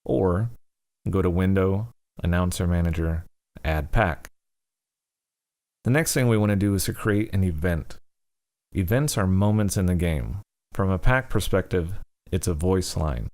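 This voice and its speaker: Deep-voiced man narrating a software tutorial. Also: a little slow, long pauses.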